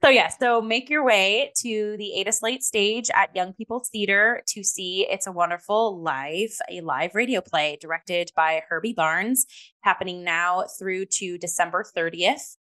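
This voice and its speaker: A woman with a bright, forward voice at a middle pitch hosts a casual arts review. She talks in an animated, chatty way, often dropping in "like" as she goes. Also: a little slow. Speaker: talk show host and theatre reviewer.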